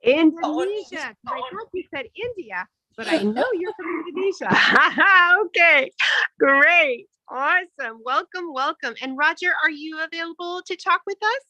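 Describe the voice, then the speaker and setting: Woman, meeting host, high pitch, bright forceful tone, effusive, repeated praise, video call. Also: expressive, unhurried, no echo, poor audio. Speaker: meeting host, club president. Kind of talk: hosting an online club meeting.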